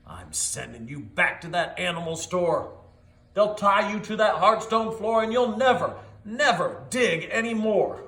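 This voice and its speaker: High, exclaiming young dog owner played by a man, earnest, emphatic, storybook style, echoey. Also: animated, a little slow, sharp tone, full character voice. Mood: stern. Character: Duke's young master, earnest, encouraging, exclaiming. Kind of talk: animated storybook read-aloud. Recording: echoey, roomy sound.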